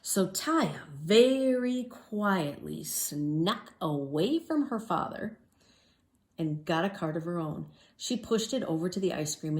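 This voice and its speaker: Woman storyteller, children's read-aloud, expressive, emphatic, very animated pitch.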